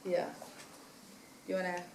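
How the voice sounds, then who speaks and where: Earnest woman panelist from Los Angeles. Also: takes it slowly. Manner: earnest, emphatic, with filler ums. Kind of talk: panel discussion answer. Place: conference hall. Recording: echoey hall with clear noise.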